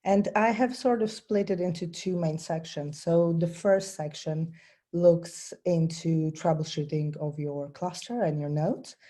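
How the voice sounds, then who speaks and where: Low mellow woman with a cold presenting. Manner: earnest, explains step by step with many ums. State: has a bad cold. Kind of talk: technical webinar presentation. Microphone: video call.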